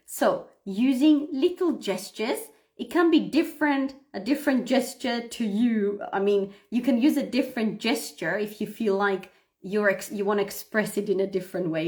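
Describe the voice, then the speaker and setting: Expressive woman teaching English live. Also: mid-range voice. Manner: instructive, expressive, emphatic, often checking understanding. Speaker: English pronunciation teacher, livestream host. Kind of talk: live lesson talking to viewers, demonstrating read-aloud.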